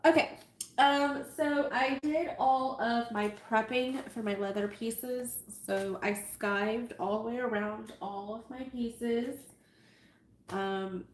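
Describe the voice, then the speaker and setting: Stuffy-nosed woman chatting on a livestream. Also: a little high. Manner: chatty, self-amused, greets viewers. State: stuffy nose, nasal. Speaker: sewing livestream host. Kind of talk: casual live sewing tutorial chat. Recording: echoey room with faint noise.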